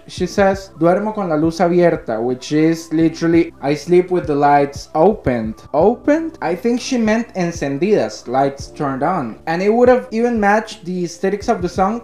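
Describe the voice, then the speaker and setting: A man's voice: on the high side, darkish tone, roomy sound. A man in his twenties, a native Spanish speaker, giving a casual, opinionated video review in an informal way. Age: 20s. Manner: informal, frequent swearing. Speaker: YouTube music reviewer. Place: small indoor room. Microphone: handheld condenser microphone held close.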